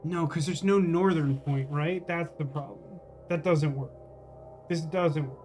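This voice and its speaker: High-pitched man musing through a game stream. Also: a little slow, darkish tone, faint music behind. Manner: rambling, musing, self-questioning. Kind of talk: casual live gameplay commentary, thinking aloud.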